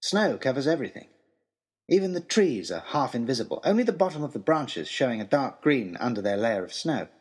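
Male storyteller for period adventure diary: expressive, formal diction, very lively intonation, slightly rough-edged tone. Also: medium pitch, brisk. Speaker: storyteller, first-person narrator. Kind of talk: scripted adventure-journal narration.

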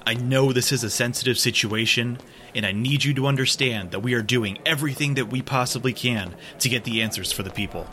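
A stern male politician played by an actor in an audio drama, with a bright, forceful voice at a middle pitch. He speaks firmly, like an official under pressure who would rather not explain himself. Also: a little fast, a little hiss or hum, close to an everyday voice. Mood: guarded, official. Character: embattled male governor, stern, evasive, foul-mouthed in private.

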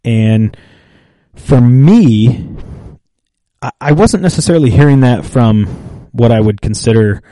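Slow, halting man in a mellow voice. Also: mid-range voice, lively intonation, no echo. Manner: thoughtful, halting, with restarts and fillers. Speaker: podcast co-host. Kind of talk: casual chat.

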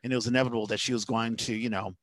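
A man's voice: medium pitch, steady tone, racing, a bit bright, no room sound. A man hosting a casual interview, talking in a rambling, conversational way. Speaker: podcast host. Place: indoors at home, on a video call.

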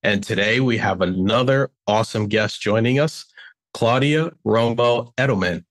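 A man's voice: on the low side, moderate pace. A man co-hosting a podcast, welcoming and questioning his guest with friendly enthusiasm. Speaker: podcast co-host.

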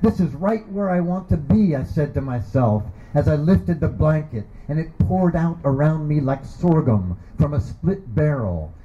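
A man giving a measured, deliberate reading of his own poetry. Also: fairly high pitch, lively intonation, slight background noise. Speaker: poet reading his work. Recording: muffled lo-fi recording.

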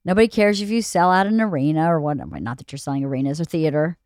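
Low-voiced woman in her late forties. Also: expressive, quick pace, a little mellow, no room sound, studio quality. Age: late 40s. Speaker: podcast co-host. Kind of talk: casual intimate conversation.

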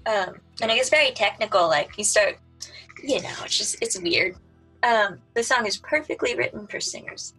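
A woman's voice: normal speed, bright, forceful tone, husky, faint music behind, rough recording. A woman who sings soprano, chatting casually as a guest, laughing often and trailing off mid-sentence. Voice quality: high soprano voice. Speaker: guest, pop singer. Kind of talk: casual chat.

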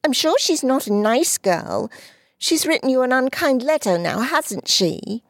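A woman's voice: big pitch swings, a little slow, no echo, studio quality, exaggerated voice. Woman voicing an insistent little girl. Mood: jealous. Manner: high, eager and insistent. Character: earnest, pleading, jealous little girl. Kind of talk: audiobook character voice.

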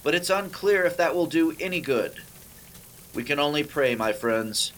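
A man playing a solemn 1930s radio newsreader, delivering his bulletin gravely with weighty pauses. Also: a little high, expressive, fairly bright tone. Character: grave, solemn male radio news announcer. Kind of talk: 1930s radio news acting, spoofed.

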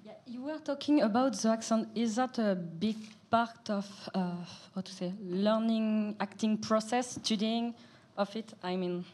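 Halting non-native woman asking a question. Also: on the high side, a little mellow. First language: not English. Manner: halting, rephrasing her question. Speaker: audience member asking a question. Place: audience seating in a hall.

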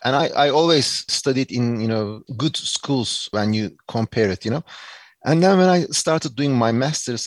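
A man's voice: mid-range voice, no echo. A man from Turkey with a Turkish accent, giving earnest, reflective answers as an interview guest, with many fillers and restarts.

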